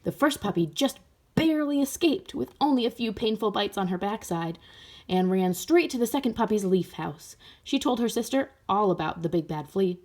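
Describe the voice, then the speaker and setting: Animated woman reading a children's story. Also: mid-range voice, normal speed. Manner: expressive, with asides to young listeners. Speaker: storybook reader. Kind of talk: animated children's read-aloud.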